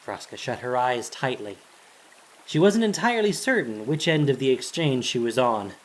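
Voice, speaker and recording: A man's voice: mid-range voice, expressive, normal speed. A man reading scripted fantasy narration in a dramatic storytelling style, as the narrator of an audio drama.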